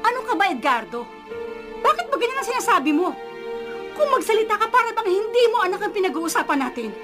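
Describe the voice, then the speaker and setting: Casting: indulgent radio-drama mother, very high voice, lively swings, emotive and defensive. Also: fast, over music. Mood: hurt, defensive. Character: doting, indulgent, defensive mother of a grown son. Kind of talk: Filipino radio drama acting.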